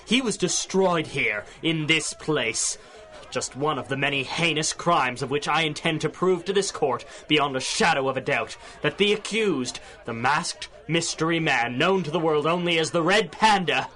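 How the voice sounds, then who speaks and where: A man's voice: a little high, expressive, moderate pace, bright, forceful tone. An actor playing a vengeful, theatrical male supervillain in a radio serial, declaiming like an accusing prosecutor. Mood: oratorical. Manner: grandiose, oratorical, accusing. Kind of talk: 1930s radio serial acting.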